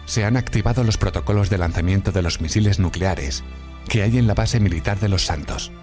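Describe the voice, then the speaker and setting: Guy doing super deep grave army narrator for a zombie apocalypse game, ominous, loud music behind. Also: brisk, lightly acted. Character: grave male military narrator briefing apocalypse volunteers. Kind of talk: scripted dramatic narration.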